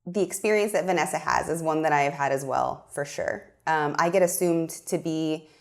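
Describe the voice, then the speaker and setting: A woman's voice: low voice, normal speed. A young adult woman filmmaker from Miami, speaking earnestly and thoughtfully in a studio interview, with frequent ums. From Miami, USA. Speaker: filmmaker guest. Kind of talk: interview conversation.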